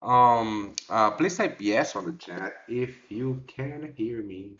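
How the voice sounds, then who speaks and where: A man hosting a trading livestream in a relaxed, halting way, with many fillers as he answers the chat. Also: on the low side. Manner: reads and answers chat directly. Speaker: trading show host. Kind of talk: casual livestream market talk. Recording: dull lo-fi livestream audio.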